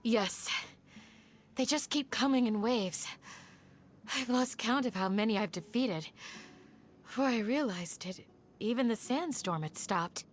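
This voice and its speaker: A woman voicing a calm, dignified female guardian in a video game, composed and formal in manner. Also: fairly high pitch, a little slow, long pauses, noisy background. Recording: music behind the voice.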